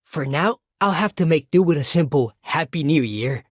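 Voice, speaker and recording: A composed, dry-recorded mafia tailor. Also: female voice, sits low, lively intonation, normal speed, dry recording. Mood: composed, courteous. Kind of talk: scripted game character greeting.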